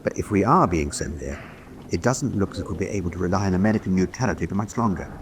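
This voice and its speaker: Guy acting serious matter-of-fact officer, really low voice, noisy radio drama. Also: quick pace, natural voice. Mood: sober. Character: sober German prisoner-of-war officer, matter-of-fact.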